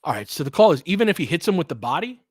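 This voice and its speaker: A man's voice: fairly high pitch, sing-song, racing, husky, no echo, studio quality. A man hosting an informal live play-by-play, commentating and analysing in a conversational, fan-like way as he chats with listeners. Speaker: live audio play-by-play host.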